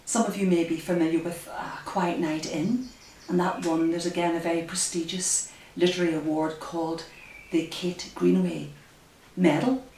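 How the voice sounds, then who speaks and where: A woman librarian speaking at story time for young children, with careful, deliberate phrasing and pauses. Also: on the low side, darkish tone. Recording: roomy, distant, faint hiss.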